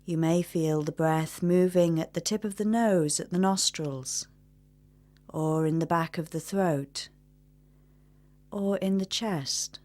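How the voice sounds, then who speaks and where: Female meditation guide: lower register, very unhurried delivery, gentle and measured instruction. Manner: gentle, measured, with long pauses.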